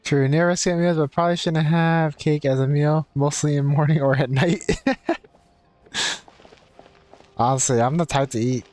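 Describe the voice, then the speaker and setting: A man's voice: on the high side, fairly even intonation, normal speed, long pauses, a little mellow. A man giving casual, slangy, easygoing live commentary as a game streamer.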